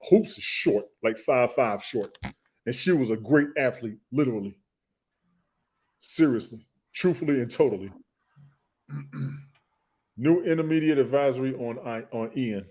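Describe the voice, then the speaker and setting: A man's voice: medium pitch, a little slow, long pauses, a little mellow. A man co-hosting a comedy podcast, talking in a laid-back, slangy way in casual banter. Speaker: podcast co-host.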